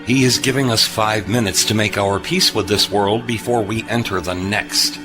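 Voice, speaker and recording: A man playing a wry, composed spacefarer who speaks gravely and knowingly in a sci-fi audio drama. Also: fairly low pitch, steady tone, moderate pace, music in the background, lightly acted. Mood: grim.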